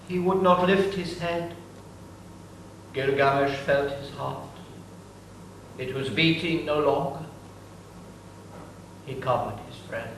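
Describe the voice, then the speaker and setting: Casting: male narrator, slow and measured, lightly raised voice, very echoey hall from far off. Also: a little high, a little hiss or hum. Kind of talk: staged dramatic narration.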